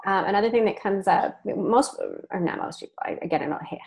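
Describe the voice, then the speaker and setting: A woman's voice: mid-range voice, fairly quick, vocal fry, a little mellow. A woman hosting a webcast over a video call, chatty and informal, with frequent ums and self-corrections. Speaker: webcast host. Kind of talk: casual group discussion.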